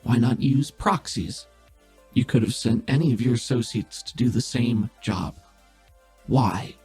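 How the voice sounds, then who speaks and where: A man playing a calm, all-knowing, exacting overseer who asks measured, probing questions in a tabletop game. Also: medium pitch, soft background music, close to an everyday voice. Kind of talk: in-character roleplay in a tabletop game.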